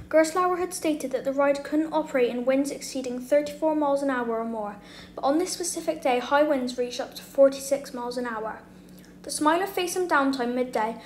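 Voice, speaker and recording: A woman reading a scripted documentary narration as the narrator of a video. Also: high-pitched. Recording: roomy echo, faint background noise.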